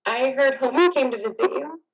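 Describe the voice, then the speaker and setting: An affectionate, concerned wife played by a woman talks tenderly in a stage drama. Her voice sits a little high, with a natural conversational delivery. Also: brisk, poor audio. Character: caring, affectionate wife of a recovering veteran. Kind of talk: play reading acting.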